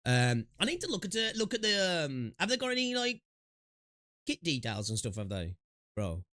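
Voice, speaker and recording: A man's voice: a little high, wildly swooping pitch, normal speed, pushed and bright, dry recording, studio quality. A man streaming games, chatting loosely with restarts, fillers and swearing, sniffling from allergies. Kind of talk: casual gaming stream chat.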